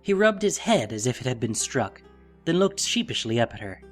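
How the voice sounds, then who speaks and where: A male narrator reads dramatic fantasy prose. His pitch sits in the middle but moves in broad, lively sweeps, with faint music underneath. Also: normal speed. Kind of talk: dramatic scripted story narration.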